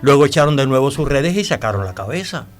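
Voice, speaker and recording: A male actor plays a devout man with secrets to tell in a radio drama. His pitch moves in lively swings as he recounts things deliberately and dramatically, pausing and hesitating. Faint music sits behind the voice. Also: close to an everyday voice. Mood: reverent, eager. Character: devout, talkative male storyteller revealing old secrets.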